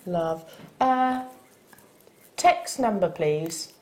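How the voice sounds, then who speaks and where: A woman's voice: fairly low pitch, expressive, drawn-out words, darkish tone, faint music behind. A woman with a Welsh accent presenting a live TV shopping show, chatty and upbeat as she talks with guests and greets viewers. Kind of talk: live TV selling chat. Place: TV studio.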